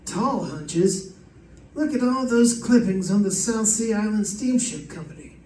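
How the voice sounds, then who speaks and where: Actor as dogged cop, very high male voice, soft dark tone, questioning, 1940s radio play, roomy. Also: animated, unhurried. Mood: suspicious. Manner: pressing, questioning. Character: suspicious, dogged male police detective, sweet on the heroine. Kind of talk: 1940s radio detective acting. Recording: roomy, distant mic.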